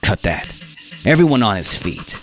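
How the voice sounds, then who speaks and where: A man's voice: fairly low pitch, sing-song, moderate pace, fairly bright tone. A man voicing a tense, commanding safari guide in a radio drama, giving stern, clipped orders. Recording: live performance with music bed.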